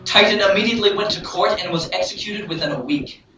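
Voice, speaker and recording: A man's voice: sits high, fast, dull audio. A man reading scripted, dramatic storytelling narration for an amateur audio drama. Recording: echoey, distant hobby recording, loud music.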